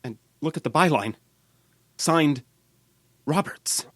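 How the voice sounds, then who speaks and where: A man playing an agitated, halting newspaper office worker in an old-time radio drama. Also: on the high side, no room sound. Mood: alarmed, horrified. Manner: halting, excitable.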